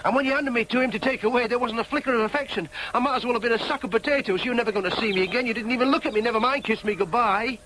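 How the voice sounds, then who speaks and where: A man's voice: extremely high pitch, very fast, few pauses, bright, forceful tone, poor audio. An actor in a radio drama playing an earnest, dogged young man with a Yorkshire accent. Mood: bitter accusing. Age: young adult. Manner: insistent, questioning, sometimes in inner monologue. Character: earnest, dogged young Yorkshireman seeking his birth mother.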